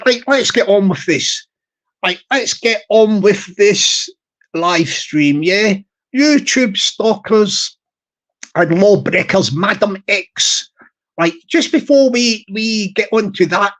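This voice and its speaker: Man, high tenor voice, live-stream host, slow rambling delivery with lots of ums and repeats. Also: a bit bright. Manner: slow, halting, many fillers and repeated words.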